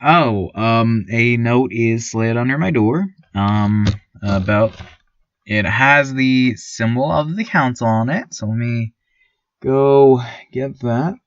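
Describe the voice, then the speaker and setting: Man acting a hesitant, slow-talking small-town radio newscaster. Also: medium pitch, no room sound, natural voice. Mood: nervous, deferential. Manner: hesitant, stammering, many ums and pauses. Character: rambling small-town radio newscaster, timid, awkward, eerily cheerful man. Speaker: radio news host. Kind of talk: surreal fiction podcast, community radio news monologue. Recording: dull, lo-fi, slightly muffled sound.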